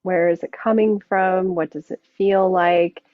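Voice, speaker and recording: A woman yoga teacher gently guiding a relaxation practice, unhurried and leaving long pauses between phrases. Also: on the low side, fairly even intonation, no room sound. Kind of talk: guided yoga and relaxation instruction. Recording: muffled narrow phone-like sound.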